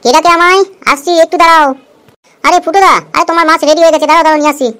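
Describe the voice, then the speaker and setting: Woman voicing a high, quick, boastful cartoon potter. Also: fairly even intonation, a bit bright. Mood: brisk, boastful. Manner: hurried, self-assured. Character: brisk, boastful male village potter. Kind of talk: cartoon voice acting.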